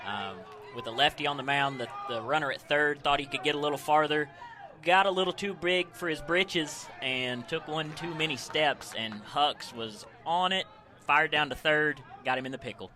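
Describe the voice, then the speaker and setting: Folksy American man, bright baseball color commentary. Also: on the high side, normal speed, some chatter behind. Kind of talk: conversational live sports commentary.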